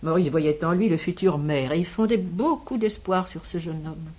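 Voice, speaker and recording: An actress playing an older village schoolteacher in a French radio drama, kindly and protective, speaking in a measured, expressive way. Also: female voice, lowish voice, natural voice. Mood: reminiscing.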